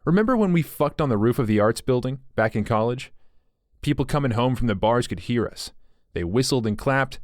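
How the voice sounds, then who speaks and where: A man's voice: medium pitch, animated, a little fast, no echo, clean studio sound, natural voice. A man voicing an agitated, drunk young man who blurts and rambles scornfully.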